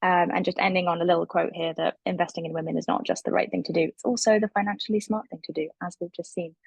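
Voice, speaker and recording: A woman with a husky, gravelly low voice hosts a webinar while losing her voice. She moderates in a friendly, easy way. The sound is dry and close. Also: fairly even intonation, fast, dry recording. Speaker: webinar host. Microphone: video call.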